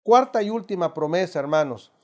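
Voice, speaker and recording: A man's voice: high-pitched, lively intonation, a little fast. A middle-aged man from Mexico with a Mexican accent, teaching an adult Bible study in an emphatic, preacherly way, constantly addressing the listeners as brothers. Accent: Mexican Spanish.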